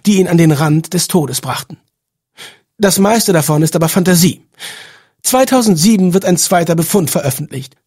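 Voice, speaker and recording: A man's voice: on the high side, darkish tone, slightly rough. A man hosting a podcast, reading scripted narration.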